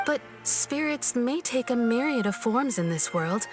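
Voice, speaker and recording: A woman playing a composed, courteous shrine maiden in a video game, speaking formally and deliberately. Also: fairly high pitch, expressive, music in the background. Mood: apologetic, calm.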